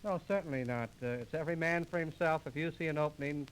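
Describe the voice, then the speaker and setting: A man's voice: on the high side, quick pace, slight background noise, poor audio. A man performing theatrical, mock-exasperated comic patter as the straight man of an old 1930s radio comedy duo. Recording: restored 1930s radio transcription disc.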